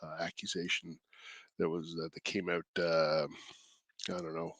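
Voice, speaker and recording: A man with a stuffed-up cold voice, hosting his own channel in casual, halting commentary, mild-mannered and thinking aloud. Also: deep voice, flat delivery, a bit bright, no room sound. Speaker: indie creator and channel host.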